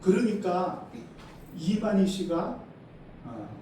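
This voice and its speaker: A middle-aged pastor from South Korea preaches to his congregation in a high, mellow voice. He keeps asking the congregation questions and moves between loud, emphatic lines and low, quiet asides. He is recorded from a distance in a very echoey church hall with faint background hiss. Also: big pitch swings, takes it slowly. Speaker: senior pastor. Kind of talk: sermon. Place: church sanctuary.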